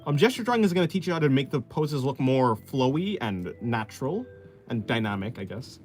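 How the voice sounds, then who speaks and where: A man's voice: mid-range voice, very animated, fairly quick, darkish tone, faint music behind. A man streaming while he draws, chatting casually and explaining art, with rambling talk that often restarts mid-thought. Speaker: artist streamer. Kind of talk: casual live-stream chat while drawing.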